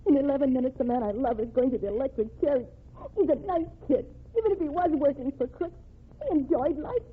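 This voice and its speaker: A woman's voice: sits high, a little fast, natural voice. An actress playing a desperate, impassioned young woman in an old-time radio crime drama, urgent and pleading in a melodramatic way. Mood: pleading, bitter. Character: desperate, impassioned young woman fighting for her condemned sweetheart. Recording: tinny band-limited old radio recording.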